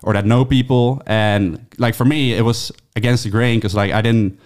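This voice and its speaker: A man's voice: medium pitch, fairly even intonation, moderate pace, a little mellow. A man in his thirties with a Dutch accent, chatting casually as a podcast guest, rambling with fillers and restarts. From Netherlands. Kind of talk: casual interview chat.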